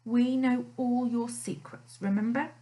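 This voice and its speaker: A cocky storybook fox, a male blackmailer, growling and snapping his smug threats, as a woman puts him on. The voice rides loud and a touch high, full of swagger. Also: fairly even intonation, measured pace, lightly acted. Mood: menacing.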